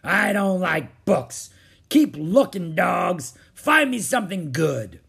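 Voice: mid-range voice, sing-song, slow pace, creaky, fairly bright tone, husky, voice pushed up, heavily acted. A narrator voicing a big, mean, greedy pirate captain who growls and roars commands, in a storybook reading. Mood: gruff, menacing. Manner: bullying, growling, roaring commands.

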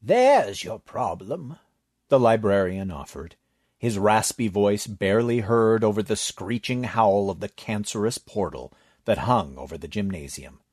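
A man narrating a story in an expressive, measured audiobook read. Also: fairly low pitch, no room sound, clean studio sound.

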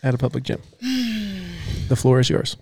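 A man's voice: high voice, sing-song, measured pace, gravelly, a little mellow, husky, dry recording. Laid-back, jokey male podcast host. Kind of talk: casual podcast chat.

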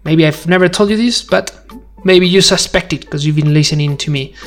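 A man's voice: a little high, fairly quick, fairly bright tone, faint music behind. A man from Spain with a Spanish accent, hosting a podcast as a conversational, educational monologue, speaking emphatically and putting rhetorical questions straight to the listener. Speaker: podcast host.

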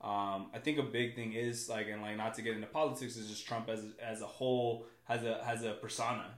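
A man's voice: on the low side, flat delivery, a little fast. Rambling man from California, echoey room. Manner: rambling, lots of "like" and "right?", repeats words. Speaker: guest. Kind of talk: casual podcast conversation.

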